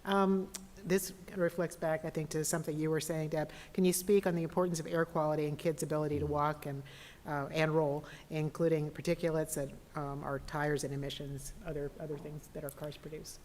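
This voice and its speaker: A woman's voice: deep voice, level tone, a little fast, a little mellow, slight background noise. A woman moderator reading out questions from the audience in a plain, procedural way. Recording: long reverb of a hall.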